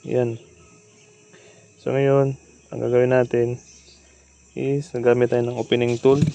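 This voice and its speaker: A man's voice: mid-range voice, unhurried, gravelly. A man with a Filipino accent casually talks through a hands-on repair tutorial, informal and instructional as he works. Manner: informal, instructional, talking while working. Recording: steady background noise with insect-like chirping.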